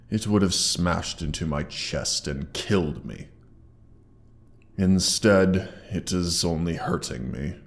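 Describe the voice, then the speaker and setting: A man voicing a proud, menacing wounded dragon in a roleplay audio, with haughty deliberate speech, a gravelly tone and a monster effect on the voice. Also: full character voice. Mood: irritated, pained. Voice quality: very deep, gravelly. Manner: slow, deliberate, haughty menace with archaic wording. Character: menacing, proud, hoard-guarding injured male dragon. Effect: processed monster-voice effect. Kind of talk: scripted roleplay audio monologue.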